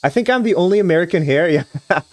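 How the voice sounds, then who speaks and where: A young man from Trinidad and Tobago talks with his viewers in a rambling, start-stop way. His pitch swings a lot and sits a bit high. The sound is dry and close to the mic. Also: expressive, quick pace, no room sound. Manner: rambling, frequent restarts and hedging fillers. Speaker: streamer. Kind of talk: casual live-stream chat with viewers, reading a visual novel aloud.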